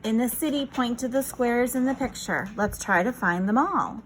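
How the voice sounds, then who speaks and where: A woman's voice: fairly high pitch, expressive, normal speed, a little hiss or hum, poor audio. A woman reading a picture book aloud to young children in an encouraging, instructional manner. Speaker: children's read-aloud teacher.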